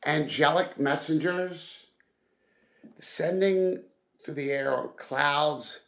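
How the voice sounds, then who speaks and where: Male audiobook-style reader for classic fiction: very unhurried, gravelly mid-pitched voice, dramatic emphasis on key words. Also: a bit bright. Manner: deliberate, dramatic phrasing with emphatic stresses. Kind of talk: storybook read-aloud narration.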